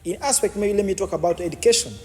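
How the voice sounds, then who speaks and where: Man, high pitched, halting and repetitive, long hall echo. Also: mellow. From Uganda. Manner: repetitive, many false starts and restarts. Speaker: podcast guest. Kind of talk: explanatory interview answers.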